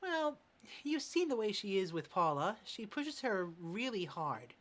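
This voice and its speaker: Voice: mid-range voice, very animated, unhurried, a bit bright, voice pushed up. A performer voicing a chatty, gossiping middle-aged aunt in a fan dub. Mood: gossipy. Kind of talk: amateur fan dub of a medical drama.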